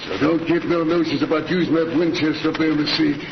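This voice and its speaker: An actor playing a gruff, menacing stagecoach robber in an old-time radio Western, with folksy cowboy speech. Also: male voice, fairly even intonation, fast, echoey room. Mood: threatening. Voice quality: gravelly voice, described as very deep. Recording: noisy, muffled, boxy old radio recording.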